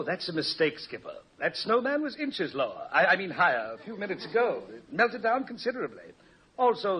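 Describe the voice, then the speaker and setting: A pompous, high-voiced fussy professor. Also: male voice. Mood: defensive. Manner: pompous, hemming, chuckles at himself. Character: vain, self-satisfied, fussy male college professor. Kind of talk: 1950s radio comedy acting. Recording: muffled old radio recording.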